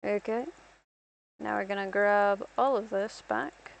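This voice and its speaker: A woman's voice: mid-range voice, fairly even intonation, unhurried, vocal fry, poor audio. A young woman from Ireland rambling through casual gameplay commentary as a streamer, self-deprecating and talking to herself.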